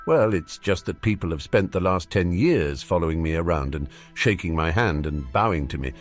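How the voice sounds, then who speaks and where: A man voicing a calm, articulate, confident young boy in an audiobook story, polite and dry. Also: low voice, normal speed, faint music behind, voice pushed down.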